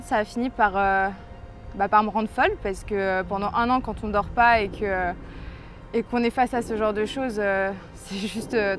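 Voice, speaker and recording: A young woman in her twenties tells her own story in an earnest, reflective way, pausing often as she searches for words. Her voice sits a little high. Soft music plays faintly underneath. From France. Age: 20s. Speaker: person giving a faith testimony. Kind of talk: personal testimony, told to camera.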